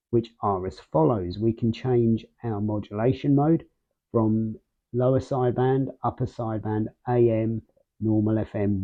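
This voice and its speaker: A man's voice: fairly low pitch, phone-quality sound. A man hosting a casual product review, explaining things step by step. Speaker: host of a radio gear review channel.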